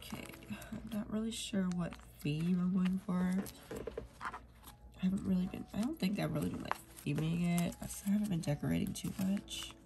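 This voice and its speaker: Young woman, sniffly, rambling casual chat, slips into whispers, gravelly fry. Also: on the low side, normal speed, noisy background. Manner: rambling, soft, drifts into whispers while working. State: sniffly from a cold. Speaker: planner vlogger.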